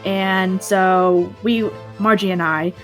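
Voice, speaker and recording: A woman's voice: mid-range voice, steady tone, slow. A woman chatting casually as a player at a tabletop game. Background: soft music.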